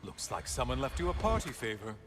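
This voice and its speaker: A man's voice: mid-range voice, big pitch swings, a little fast, natural voice. A man playing a bitter, mocking dead god in a video game, with a sarcastic, theatrical, taunting delivery. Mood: gleeful mocking. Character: mocking severed head of a bitter male god. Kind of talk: cinematic video game acting. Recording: echoing long reverb, music underneath.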